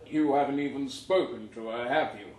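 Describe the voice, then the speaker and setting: A man's voice: medium pitch, moderate pace, a little mellow, natural voice. A man voicing a swaggering, sneering ex-pop star rival, cocky and mocking, in a live comic story reading. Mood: mocking, menacing. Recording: roomy, distant live recording with noise.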